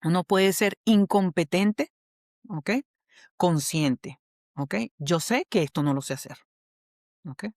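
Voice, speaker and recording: A woman's voice: fairly low pitch, slow pace, pauses often, darkish tone, no room sound, clean studio sound. A woman with a Venezuelan accent, an HR specialist and coach, explaining a model as a podcast guest in a patient, teacherly way, often checking that the listener follows. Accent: Venezuelan Spanish.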